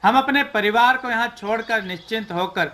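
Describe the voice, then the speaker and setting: A man narrating a scripted folk tale in a measured, declamatory storyteller's way. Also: high-pitched, pushed and bright.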